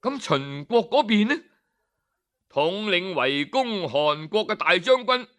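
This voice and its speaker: A man with a Hong Kong Cantonese accent telling a traditional folk tale, leisurely and expressive, with drawn-out particles and dramatic pauses. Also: high-pitched. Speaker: storyteller.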